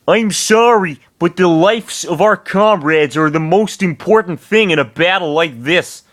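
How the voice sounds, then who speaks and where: A calm, dutiful young lord speaks earnestly in a raised, clearly put-on voice well above a man's normal pitch, as a man reading a story aloud does him. His delivery is solemn and steady. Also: unhurried.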